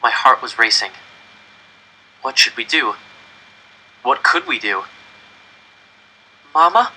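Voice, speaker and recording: A man narrates a scripted first-person story in a very bright, forceful voice at an ordinary pitch. His storytelling is dramatic and tense. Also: unhurried, breathy edge, rough recording. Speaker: audiobook reader.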